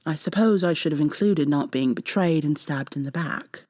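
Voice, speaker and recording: A woman voicing a wounded, bitter robot woman in an ASMR audio drama, with soft, cutting, formal speech. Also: deep voice, moderate pace, no room sound, clean studio sound. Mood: bitter, sarcastic.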